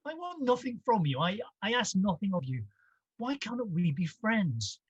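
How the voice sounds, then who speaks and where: Woman playing an earnest, husky-voiced kindly nephew. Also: mid-range voice, very animated, normal speed, no echo. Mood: pleading. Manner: earnest, good-natured. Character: warm-hearted, cheerful young nephew, a gentleman. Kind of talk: vintage radio drama acting. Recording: dull, dry video call.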